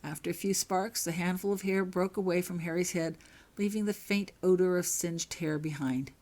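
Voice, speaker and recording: A woman narrates fiction from a script, reading her own writing. Her voice sits a little low for a woman as she tells the story. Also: fairly even intonation, moderate pace, a little mellow.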